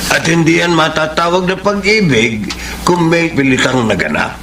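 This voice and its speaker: A man's voice: fairly high pitch, lively intonation, normal speed, roomy sound, noisy background, lightly acted. Actor as caring Filipino father, swooping intonation. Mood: solemn advice. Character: caring middle-aged father, gentle and advising. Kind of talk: Filipino radio drama acting.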